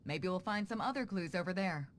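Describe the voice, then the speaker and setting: A woman's voice: on the low side, expressive, brisk, a little hiss or hum, lightly acted. An actress playing a bold, confident female pirate captain in a video game, breezy and self-assured, casual yet commanding. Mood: amused, confident.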